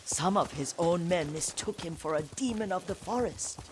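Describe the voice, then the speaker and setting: An actress playing a gentle, wistful elderly Japanese woman servant in a video game, unhurried and motherly as she fondly scolds. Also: lowish voice, a little hiss or hum, lightly acted. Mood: grave, recounting. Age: older. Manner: unhurried, motherly, sometimes forgetful and trailing. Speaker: game voice actor. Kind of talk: dramatic video game acting.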